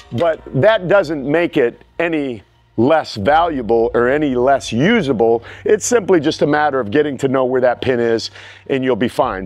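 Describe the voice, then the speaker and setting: A man's voice: a little high, a little mellow. A middle-aged man giving a casual, frank product review of home gym equipment to camera, recorded outdoors in a backyard on a clip-on mic. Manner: plain, frank and explanatory.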